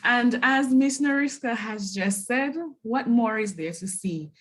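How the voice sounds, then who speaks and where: A woman teacher speaking on a webinar panel in a relaxed, informal way. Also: fairly high pitch, unhurried.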